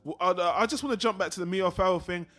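A man's voice: high-pitched, quick pace, a bit bright. A man co-hosting a film discussion show, giving blunt opinions in a casual, sweary style.

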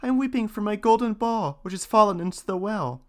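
A man voicing a spoiled young princess in a folktale reading, pitching his voice up into a high, pleading tone. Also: brisk, close to an everyday voice. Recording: faint guitar music behind.

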